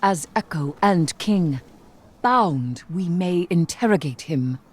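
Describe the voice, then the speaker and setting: A woman playing a grim, vengeful sorceress queen in a video game, speaking in a solemn, deliberate, imperious way. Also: fairly low pitch, expressive, slightly rough, exaggerated voice. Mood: grave triumph. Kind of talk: dramatic video game cutscene acting. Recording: loud music under the voice.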